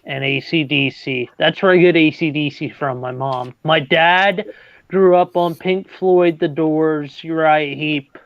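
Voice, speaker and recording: A young man in his late twenties hosts a relaxed livestream, his voice sitting a little high. He trips over his words, repeats himself and laughs between thoughts. The sound is dull and lo-fi with no room echo. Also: measured pace, clean tone. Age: 20s. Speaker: livestream host. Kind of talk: casual chat. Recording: dull lo-fi, dry.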